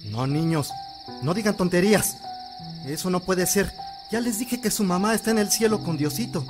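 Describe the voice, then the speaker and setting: A man's voice: high voice, lively intonation, normal speed, over music. An actor playing a bewildered, grieving widowed father in an audio drama, with a Mexican accent and a plaintive, hesitant delivery. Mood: shocked.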